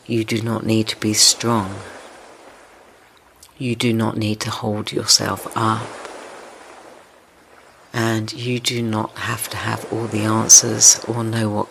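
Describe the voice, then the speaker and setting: A man leading a guided meditation in a gentle, soothing, tender manner, unhurried and with long pauses between phrases. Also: on the low side, vocal fry. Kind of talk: slow guided meditation, yoga nidra. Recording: steady ocean wave noise behind voice. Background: ocean wave sounds.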